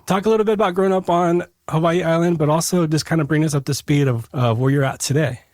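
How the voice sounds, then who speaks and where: A man's voice: a little high, quick pace, darkish tone, dry recording, clean studio sound. A man hosting a travel podcast, reading a prepared intro and then chatting in an upbeat, friendly way with his guest. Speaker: podcast host. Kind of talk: scripted intro then casual interview.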